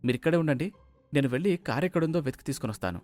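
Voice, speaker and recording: A man's voice: a little high, lively intonation, racing, darkish tone, soft background music, lightly acted. A man voicing an earnest young son who is about to marry, in a story. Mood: sincere.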